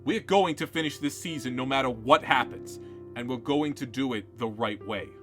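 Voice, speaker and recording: A man voicing a calm male staff member who talks low and reassuringly. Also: moderate pace, faint music behind, natural voice.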